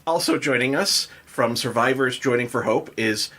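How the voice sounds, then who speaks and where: A man's voice: mid-range voice, normal speed, bright, forceful tone. An American man hosting a podcast, reading headlines and chatting casually. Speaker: podcast host. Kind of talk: casual news and banter podcast talk.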